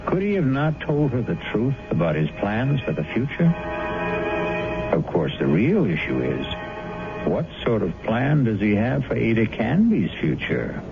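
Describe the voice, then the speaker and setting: Slow, creaky, animated radio host. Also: male voice, mid-range voice. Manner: sly, ominous, measured. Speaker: host and narrator. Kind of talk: dramatic scripted radio narration. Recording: muffled old radio broadcast. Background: music.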